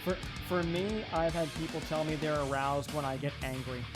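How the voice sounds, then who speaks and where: A man's voice: on the high side, normal speed. A man streaming casual chat, given to teasing, sweary banter. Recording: music playing behind the voice. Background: plucked guitar music.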